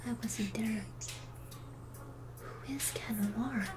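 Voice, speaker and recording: A woman playing an anxious, conscientious teenage superheroine in an audio drama, hesitant and breathy, often dropping toward a whisper. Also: on the high side, slow pace. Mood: worried. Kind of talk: fan audio drama acting. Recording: music under the voice.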